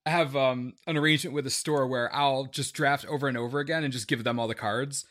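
A man's voice: mid-range voice, fairly even intonation, fast talker, fairly bright tone. A man chatting casually as part of a podcast conversation.

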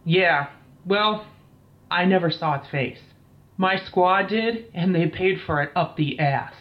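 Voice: mid-range voice, slow, dull audio, natural voice. Shaken, foul-mouthed military captain played by an actor. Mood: uneasy, grim. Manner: blunt, profane, weary. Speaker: military captain, interviewee in an audio play. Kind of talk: dramatised incident interview, audio drama acting.

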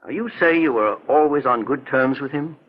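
Calm, authoritative investigator, played by a male actor, slightly rough voice, deliberate delivery, dulled vintage radio sound. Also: mid-range voice, natural voice. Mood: grave, deliberate. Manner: measured, earnest, explanatory. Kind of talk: 1940s radio detective drama acting. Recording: muffled vintage 1940s radio recording.

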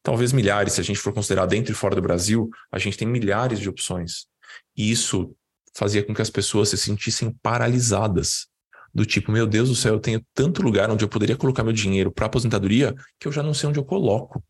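A man's voice: on the low side, quick pace, breathy edge. A man with a Brazilian accent giving an informal, didactic live lesson as a financial educator, repeating words for emphasis in a conversational way. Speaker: financial educator leading an online class.

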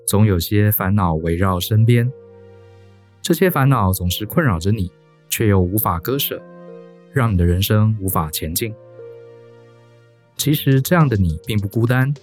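A male announcer with a Taiwanese accent reads scripted narration in a fairly low voice, with music playing faintly behind him. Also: lively intonation, moderate pace, a little mellow. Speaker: series announcer.

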